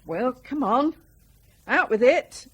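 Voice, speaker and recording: A nagging old mother in a radio drama. She is blunt and grumbling, with a fairly high voice that rises and falls freely as she scolds. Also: animated, takes it slowly, fairly bright tone, slightly rough, exaggerated voice. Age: older. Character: blunt, nagging elderly working-class mother.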